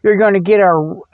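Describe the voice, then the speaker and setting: Radio host, male, middle-aged: folksy, repetitive comic rambler, somewhat high-pitched, narrow telephone-grade sound with little room. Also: dry recording. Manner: folksy, repetitive, often checks with "okay". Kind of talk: rambling comedic monologue with spoof ads. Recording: muffled, phone-like radio sound.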